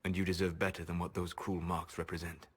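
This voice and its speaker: A melancholy wise elf mage for a fantasy game, low and husky, slow formal solemn way of talking. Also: male voice, level tone. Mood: gentle, regretful. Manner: measured, formal, solemn delivery. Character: grave, gentle male elven mage, melancholy and wise. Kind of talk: dramatic video game acting.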